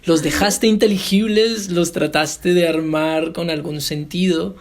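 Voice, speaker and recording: A woman's voice: fairly low pitch, fairly even intonation, measured pace. A woman interviewer in casual conversation, attentive, with loosely rambling questions and short affirmations. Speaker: interviewer, host.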